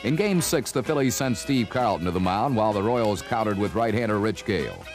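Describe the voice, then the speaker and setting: A man narrates a scripted sports documentary with a dramatic storyteller's delivery. His low voice moves up and down in a lively way, over clearly audible music on an old vinyl record. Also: a little fast.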